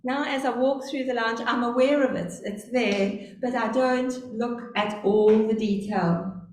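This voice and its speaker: A middle-aged woman with a South African accent preaching a sermon, telling stories slowly and earnestly. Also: fairly high pitch. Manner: earnest, storytelling, speaks slowly on purpose. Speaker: lay preacher. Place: church. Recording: very echoey church, faint hum.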